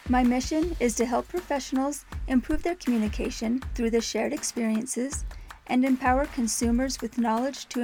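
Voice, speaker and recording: Higher-voiced woman hosting over soft music. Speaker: podcast host and researcher. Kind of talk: scripted podcast intro.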